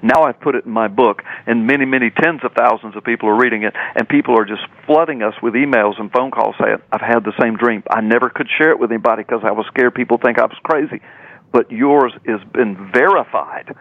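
A man's voice: mid-range voice, fairly quick, no echo. Older man, dull dry radio line, dramatic storyteller. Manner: emphatic, repetitive, dramatic storyteller. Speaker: radio guest, preacher and author. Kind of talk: animated personal storytelling in an interview.

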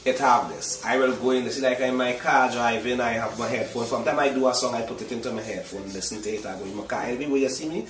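A man's voice: mid-range voice, quick pace, hardly any pauses. Echoey Trinidadian man, emphatic and repetitive. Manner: emphatic, repetitive, often checks that the listener understands. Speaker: singer being interviewed. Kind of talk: casual interview chat. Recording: roomy echo, distant from mic.

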